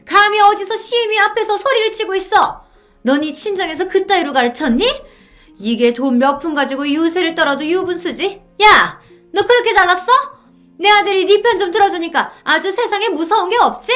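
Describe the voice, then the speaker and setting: A woman's voice: extremely high pitch, lively intonation, moderate pace, a bit bright, faint music behind, voice pushed up. A woman voicing a domineering, shameless older mother-in-law who harangues and demands, in a dramatized story reading. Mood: enraged screaming.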